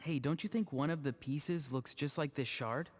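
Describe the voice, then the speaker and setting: A man's voice: a little high, moderate pace, a little hiss or hum, close to an everyday voice. A man voicing an easygoing young grandson in a video game, casual, teasing and self-deprecating. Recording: music behind the voice. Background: game music.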